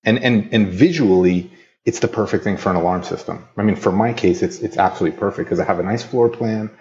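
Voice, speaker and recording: A man with a low voice who is a guest on a podcast, recorded with dull, lo-fi audio. He tells his story eagerly and laughs easily as he explains things. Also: fast talker, a little mellow. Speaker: interview guest. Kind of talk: casual interview chat.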